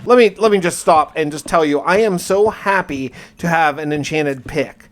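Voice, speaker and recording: A man's voice: on the high side, moderate pace. A man hosting a gaming video, giving casual first-person commentary with folksy exclamations and mild slang.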